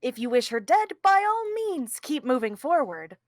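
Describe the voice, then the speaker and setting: A conceited criminal mastermind in a theatrical read. The voice sits high and swings widely in pitch, arguing in a pompous, wordy way. The sound is dry and close. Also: male voice, sing-song, normal speed, dry recording. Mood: menacing, smug. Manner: pompous, verbose, argumentative. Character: conceited, scheming criminal mastermind holding a hostage. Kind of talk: theatrical table-read performance.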